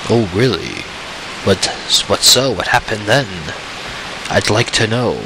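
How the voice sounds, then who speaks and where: A man's voice: fairly low pitch, big pitch swings, takes it slowly, background noise. A man giving casual, reacting commentary as the host of a gaming video. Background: game music.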